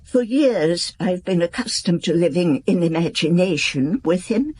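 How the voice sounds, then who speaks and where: An elderly noblewoman, slow and husky, with expressive rise and fall. She is gracious, composed and wistful, every phrase formal, in radio drama style. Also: lowish voice. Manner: formal, composed, unhurried, frank. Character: gracious, dignified, wistful elderly female aristocrat.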